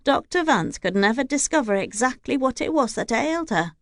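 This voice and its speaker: A woman's voice: a little high, expressive, brisk, no room sound, clean studio sound, voice pushed up. A woman voicing a loyal old-fashioned housekeeper. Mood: earnest. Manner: deferential to the lord, formal and old-fashioned speech. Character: older female housekeeper, devoted, stiff with outsiders.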